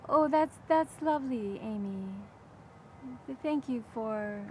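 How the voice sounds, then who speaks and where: An older woman hosting a literary interview, putting prepared questions and audience questions in a measured, articulate way. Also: a little high, dark tone. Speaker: interviewer, event host. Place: outdoors in a rose garden. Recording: clear outdoor background noise.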